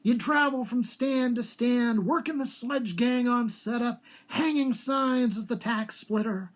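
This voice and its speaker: A male author at a live reading. He has a theatrical storyteller's delivery and places clear emphasis on his phrases. The voice is that of a writer presenting his own fiction aloud. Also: extremely high pitch, measured pace. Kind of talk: live dramatic literary reading.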